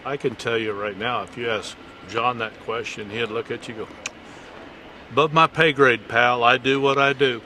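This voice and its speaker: A man's voice: mid-range voice, fairly even intonation, moderate pace, sharp tone. Casual man interviewed in noisy surroundings.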